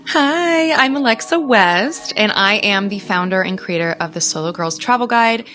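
A woman's voice: medium pitch, expressive, moderate pace, bright, forceful tone, faint music behind. A woman in her thirties speaking as an interview guest, explaining things in an informal, conversational way. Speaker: interview guest, author and teacher. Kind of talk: conversational advice. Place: indoor room, on a video call. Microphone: close microphone.

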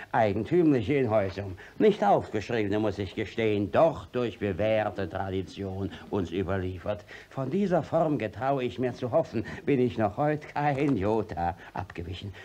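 A man's voice: lowish voice, mellow. An actor in a 1950s radio play voicing a sly, blustering old village judge who stammers and hedges, switching between servility and bullying.

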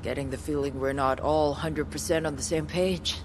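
A woman's voice: sits low, fairly even intonation, moderate pace, background noise, natural voice. An actress playing a blunt, spiritual, resolute woman survivor in a video game cutscene, with a matter-of-fact delivery. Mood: frank, wry.

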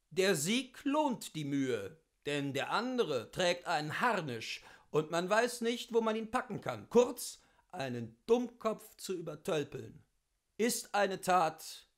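A man giving an expressive, theatrical reading of a literary memoir, with deliberate phrasing and emphatic pauses. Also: high-pitched. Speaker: reader of literature.

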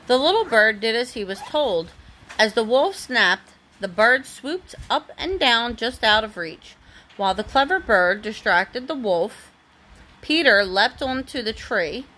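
A woman's voice: on the high side, animated, takes it slowly, sharp tone, rough recording. A woman reading a storybook aloud expressively to a young child, as a parent does at bedtime.

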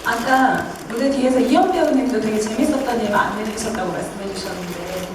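A woman's voice: on the high side, brisk. A woman moderating a press call in a formal, polite register as the host. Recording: very echoey, distant, noisy.

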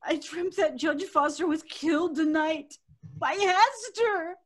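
A woman's voice: extremely high pitch, slow. A woman playing an anxious, pleading wife in an old-time radio drama. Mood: frightened, pleading.

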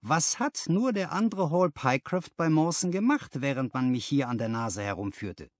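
A man's voice: a little high, very animated, moderate pace, no room sound, clean studio sound. A man voicing a dismayed young clerk in an audiobook, exclaiming and asking anxious questions. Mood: agitated. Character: dismayed, agitated young male clerk seeking help. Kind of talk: audiobook character dialogue.

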